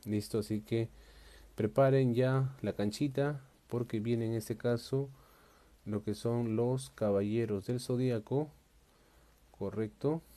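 A man's voice: medium pitch, steady tone, slow pace, dark tone. Upbeat man hosting a live unboxing. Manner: upbeat, chatty, reads viewer comments aloud. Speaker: collector livestream host. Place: indoors at a table.

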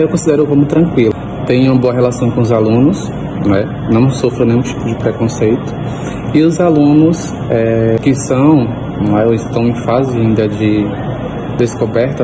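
A male teacher from Bahia calmly recording a testimony on his phone. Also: mid-range voice, noisy background. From Bahia, Brazil. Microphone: phone selfie at arm's length.

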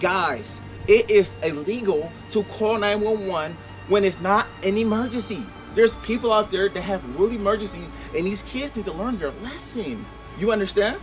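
A man's voice: high-pitched, normal speed, few pauses, faint music behind, close to an everyday voice. A young man playing a stern police officer in a family skit, lecturing and giving repeated commands outdoors. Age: young adult. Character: stern male police officer scolding mischievous kids. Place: outdoors in a backyard.

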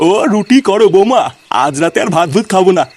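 একজন পুরুষের অভিনয়ে চড়া গলার বদরাগী বুড়ো বাবা. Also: male voice, high voice, animated, fast talker, a little mellow. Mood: fond, hopeful. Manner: scolding, profane. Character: foul-mouthed, hot-tempered ageing father and doting grandfather. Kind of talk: comedy cartoon dubbing. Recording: clearly noisy track.

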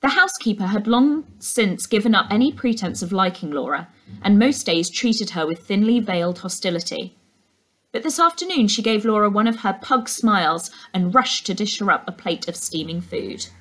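A young woman narrates a storybook as a teacher would to a class. Her mid-pitched voice rises and falls widely, with plenty of expression. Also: lively intonation, moderate pace, fairly bright tone. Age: young adult. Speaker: teacher reading aloud to a class. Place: indoors, living room.

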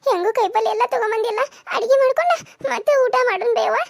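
A woman's voice: very high-pitched, fast, gravelly, heavy background noise. A woman voicing a caring young child who soothes and pleads, in a family melodrama, with a North Karnataka Kannada accent. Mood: pleading.

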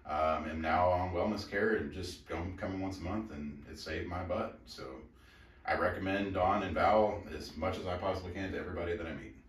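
A man in his forties gives a plain, grateful patient testimonial, pausing now and then. His voice is very low. A camera mic some way off picks it up, and the small room echoes. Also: a bit bright. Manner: plain, grateful, a little halting. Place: small clinic treatment room. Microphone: camera mic from across the room. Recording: roomy, distant camera sound.

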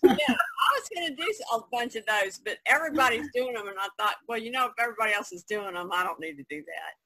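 A woman's voice: mid-range voice, expressive, quick pace, pushed and bright, rough recording. A woman in casual conversation on an online call, explaining things as an artist taking part in a podcast. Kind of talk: casual chat, explaining a technique. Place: online video conference call, indoors. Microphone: video call.

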